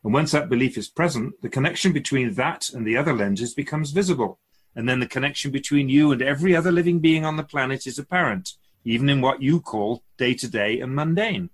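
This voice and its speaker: A man playing a serene immortal keeper of souls in a radio drama, speaking in a measured, formal, professorial way. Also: medium pitch, husky, close to an everyday voice. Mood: calm, instructive. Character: male-voiced immortal spirit keeper of souls, serene and instructive.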